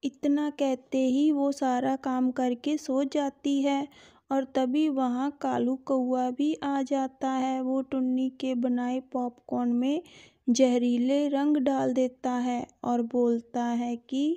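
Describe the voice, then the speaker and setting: A woman narrates a children's tale in a high, soft-edged voice. Her delivery is slow and even, staying mellow from line to line. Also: lo-fi sound. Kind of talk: scripted children's story narration.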